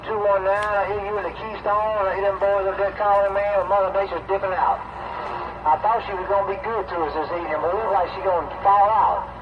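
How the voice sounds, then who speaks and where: High voiced guy talking folksy cb slang, dull radio sound buried in loud noise. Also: steady tone, quick pace. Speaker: CB radio operators on the receiving end. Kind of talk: casual CB radio chatter, slangy. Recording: muffled, very noisy CB radio transmission. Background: loud static and radio noise.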